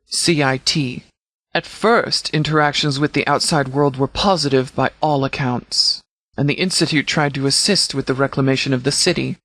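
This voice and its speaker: A man playing a stern, protective post-apocalyptic sheriff in a video game, speaking in a formal, deliberate, fatherly way. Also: a little high, fairly bright tone, no room sound. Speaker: video game mod character actor. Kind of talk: scripted game dialogue.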